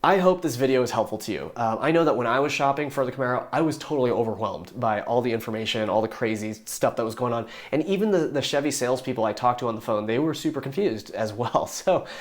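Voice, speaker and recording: A hoarse young man talking casually and enthusiastically, rambling with fillers and restarts as he explains things to his viewers. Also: medium pitch, expressive, fast talker, few pauses. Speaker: YouTube car reviewer.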